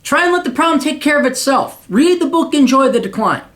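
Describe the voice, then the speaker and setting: A man's voice: very high voice, expressive, a little fast, a bit bright. A man hosting a paid advice show, lecturing his listener in a blunt, profane, mocking way. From United States. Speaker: podcast host giving paid advice.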